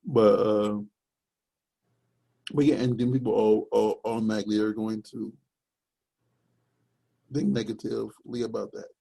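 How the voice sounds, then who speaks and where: A young man with a deep, gravelly voice talks casually on a podcast. His tone is husky and mellow, and he wanders through his points with many fillers. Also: flat delivery, a little slow, pauses often, rough recording. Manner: rambling, searching for words with many fillers. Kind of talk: casual chat.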